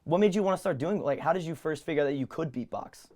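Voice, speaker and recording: Eager man with a lively pitch. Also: fast. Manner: eager, enthusiastic interviewer. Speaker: talk show host. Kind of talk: casual interview chat.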